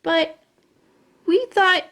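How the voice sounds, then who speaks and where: A woman voicing an eager, excitable little schoolgirl who squeals her lines. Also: very high voice, drawn-out words, heavily acted. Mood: excited.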